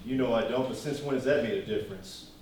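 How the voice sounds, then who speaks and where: A man's voice: medium pitch, fast talker, darkish tone, natural voice. Guy playing a dry loyal bodyguard in a stage play, kinda skeptical, far off mic, bit of noise. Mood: dry, disapproving. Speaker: stage actor. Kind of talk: live stage play acting. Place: indoor stage performance, distant microphone. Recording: echoey hall from far off, noisy.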